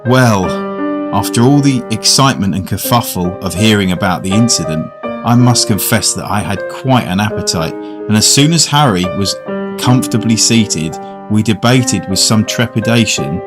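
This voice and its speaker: An actor as a genteel older British gentleman tells a macabre radio tale in a low voice. He is courteous and literary, reflective as he goes, with music playing underneath. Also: moderate pace, lightly acted. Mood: reflective narration. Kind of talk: fan-made radio drama, first-person narration and dialogue.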